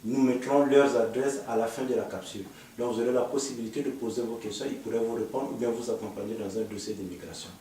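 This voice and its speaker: A man hosting a studio panel talk on immigration, asking questions in a measured way with hesitant fillers. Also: medium pitch, steady tone, dark tone, slight background noise. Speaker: host, immigration consultant. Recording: echoey room, mic far off.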